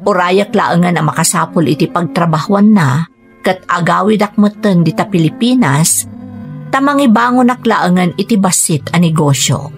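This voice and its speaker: A woman's voice: lowish voice, expressive, normal speed. A woman playing a young, dutiful, burdened Filipina daughter working overseas in an Ilocano radio drama, earnest and plaintive, with an Ilocano accent. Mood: troubled, confiding. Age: young adult. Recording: music bed under the voice. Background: music.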